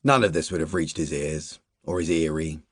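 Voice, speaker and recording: A man reading a literary novel aloud as a first-person audiobook narrator, measured and even, dropping to quiet asides in the dialogue. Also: a bass voice, slightly rough, no echo, studio quality.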